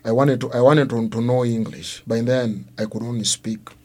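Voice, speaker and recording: A man with a Congolese accent and a husky voice at a middling pitch. A native Luo speaker, he tells his own story as an interview guest, building it in halting, careful phrases. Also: steady tone, mellow. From Democratic Republic of Congo. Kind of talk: personal testimony in an interview.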